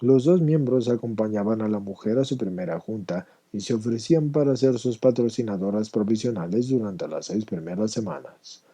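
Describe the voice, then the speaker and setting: A man's voice: on the low side, normal speed, few pauses, warm tone, breathy edge. A man reading a personal testimony aloud as scripted narration.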